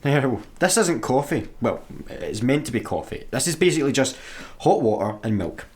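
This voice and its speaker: Anxious low-voiced young woman, very animated. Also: normal speed. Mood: sardonic grumbling. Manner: fretful, grumbling, dramatic. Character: anxious, sardonic young jobseeker, prone to panic. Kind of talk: radio sci-fi comedy acting.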